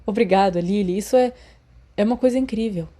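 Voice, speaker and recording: Reserved teenage schoolboy for audiobook fiction, read by a woman: polite, understated, hesitant, mellow. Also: mid-range voice, a little fast, close to an everyday voice. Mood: nervous, grateful. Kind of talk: fan fiction audiobook character voice.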